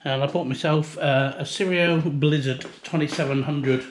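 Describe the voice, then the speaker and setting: A man's voice: a little high, fairly even intonation, moderate pace. Noisy handheld recording, middle-aged British man. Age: 50s. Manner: chatty, unpolished, rambling. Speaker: hobbyist video maker. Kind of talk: casual handheld show-and-tell. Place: outdoors beside a house, breezy. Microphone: handheld camera or phone.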